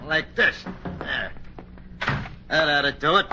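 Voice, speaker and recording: Cocky radio outlaw, noisy old recording. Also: male voice, on the high side, animated, takes it slowly, vocal fry, highs cut off, heavily acted. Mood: menacing. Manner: slangy, sneering tough-guy talk. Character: cocky, menacing armed robber, a tough Western outlaw. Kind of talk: 1950s radio drama acting.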